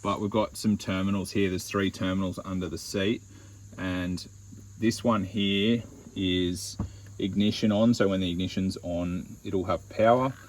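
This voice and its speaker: A man's voice: low-pitched, a little mellow, slight background noise, poor audio. An Australian man casually explaining a hands-on DIY install in a laid-back, matter-of-fact way. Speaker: DIY van-build vlogger.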